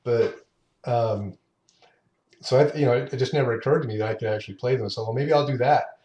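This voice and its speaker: A man in his late fifties chatting as a podcast guest, talking unhurriedly with halting restarts. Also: medium pitch, dark tone, muffled recording. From Utah. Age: 50s. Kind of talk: casual interview chat. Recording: roomy echo.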